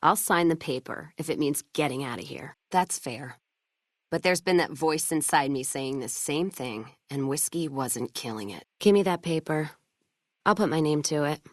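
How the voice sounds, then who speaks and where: A woman playing a hard-drinking, sharp-tongued American caravan owner in a post-apocalyptic western game, with blunt, sardonic, folksy profanity. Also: low-pitched, normal speed, dry recording, studio quality. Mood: weary, resigned. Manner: blunt, sardonic, profane frontier drawl with folksy turns of phrase. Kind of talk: video game character dialogue.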